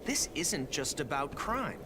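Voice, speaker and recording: An actor playing an earnest, brainy teenage mutant turtle in a video game, precise and wordy. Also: male voice, a little high, sing-song, measured pace, background noise. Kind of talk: video game cartoon acting.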